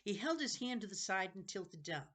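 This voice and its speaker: A woman narrating a children's novel aloud, unhurried, sometimes going back over a phrase. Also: medium pitch, sing-song, a bit bright. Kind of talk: storybook narration read aloud.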